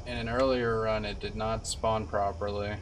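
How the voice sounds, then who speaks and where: A man's voice: fairly low pitch, flat delivery, normal speed, distant mic, slight background noise. A man in his twenties giving laid-back, musing casual commentary as a video game streamer. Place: indoor room, streaming setup with webcam. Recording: off-mic, loud game sound behind. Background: loud video game audio.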